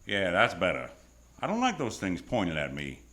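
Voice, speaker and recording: Man playing a lively, wisecracking hardboiled private eye. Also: deep voice, normal speed, heavily acted. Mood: probing, wry. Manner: wisecracking, tough, world-weary slang. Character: wry hardboiled male private detective narrating his case. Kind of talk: old-time radio noir acting, first-person narration. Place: stage of live radio theatre.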